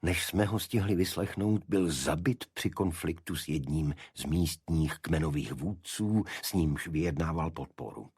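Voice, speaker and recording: A male narrator puts on a secretive senior official in a detective audiobook. He is formal and evasive, explaining carefully in a low, husky voice with a very dry sound. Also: few pauses, darkish tone, no echo, heavily acted. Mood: defensive.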